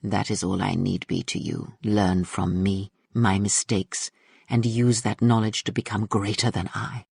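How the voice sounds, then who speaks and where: Man doing an ancient mentor spirit, radio drama, lowish voice, solemn, oracular. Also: little pitch movement, a little slow, gravelly, darkish tone, husky, no room sound, studio quality, full character voice.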